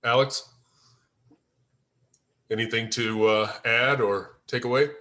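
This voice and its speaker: A man hosting a casual table read discussion as the writer, phrasing his thoughts in a halting, deliberate way. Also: medium pitch, fairly even intonation, pushed and bright. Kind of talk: casual discussion, giving and asking for feedback.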